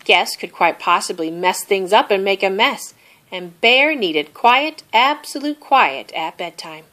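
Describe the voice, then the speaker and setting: A woman reading a children's story aloud as an expressive narrator. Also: mid-range voice, a little slow. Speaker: storybook reader.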